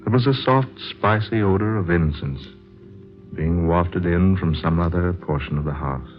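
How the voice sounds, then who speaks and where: Male actor for earnest, brooding fantasy writer: deep, deliberate delivery, vintage radio drama, slightly muffled sound. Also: soft background music, lightly acted. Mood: solemn, confiding. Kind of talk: 1940s radio drama acting and narration.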